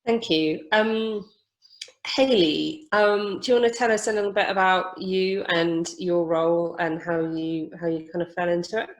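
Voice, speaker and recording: Woman, moderator, lowish voice, short polite prompts. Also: steady tone, measured pace, low-quality recording. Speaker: panel moderator. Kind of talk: moderating a live panel.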